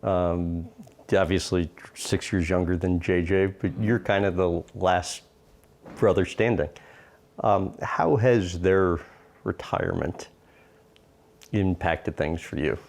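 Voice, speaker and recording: Male interview host, low voice, conversational, quick "yeah"s as the guest talks. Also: creaky, a little mellow. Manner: conversational, chiming in with short agreements. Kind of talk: long-form sit-down interview.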